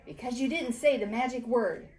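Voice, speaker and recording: A woman's voice: fairly high pitch, moderate pace, darkish tone, close to an everyday voice. A woman voicing a stern, exasperated babysitter in a picture book, scolding firmly and emphatically. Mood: demanding. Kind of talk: picture book read-aloud.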